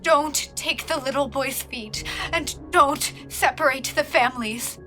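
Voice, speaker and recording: High-voiced, defiant young heroine. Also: female voice, a little slow, sharp tone, soft background music. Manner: pleading, emotional. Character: defiant, distressed young woman standing up to authority. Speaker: voice actor in audio fiction. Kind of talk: audio drama acting.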